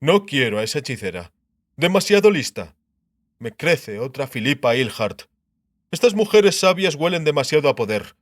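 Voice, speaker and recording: A man's voice: a little high, big pitch swings, dry recording, clean studio sound. Man playing a shrewd, grumbling king, musing aloud. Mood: shrewd, deliberating. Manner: musing aloud, muttering, drawn-out syllables. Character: shrewd, grumbling old king, fond but sardonic husband. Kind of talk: dramatised audiobook character acting.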